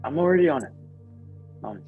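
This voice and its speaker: A man's voice: on the high side, animated, fairly quick, narrow, tinny audio, faint music behind, natural voice. A man playing a plucky, earnest young boy in a screenplay table read. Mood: dutiful.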